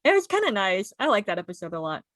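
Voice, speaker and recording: A woman's voice: mid-range voice, very animated, quick pace, fairly bright tone, no room sound, clean studio sound. A woman in her twenties with an American accent, co-hosting a podcast in an informal, upbeat, chatty way. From United States. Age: 20s. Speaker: podcast co-host. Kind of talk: casual chat.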